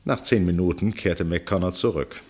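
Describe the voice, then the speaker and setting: An actor in a German radio play as a wry, detached gentleman narrator, speaking in a measured, ironic, cultivated way. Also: sits low.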